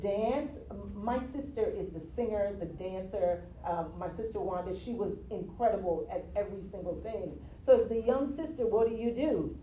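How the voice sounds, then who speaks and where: A woman from the US Virgin Islands, a guest telling her story in a casual interview, expressive and quick to laugh. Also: medium pitch. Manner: expressive, laughs easily, repeats "yeah". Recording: roomy, from a distance, faint noise.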